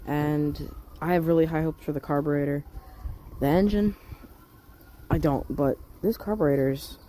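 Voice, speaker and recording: A man's voice: on the high side, fairly even intonation, normal speed, mellow. A young man chatting casually as he repairs a small engine, rambling and correcting himself in slang, recorded on a phone outdoors and then in a shed. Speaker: hobby mechanic vlogger. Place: outdoors on a deck in light rain, then a shed. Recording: noisy home phone recording.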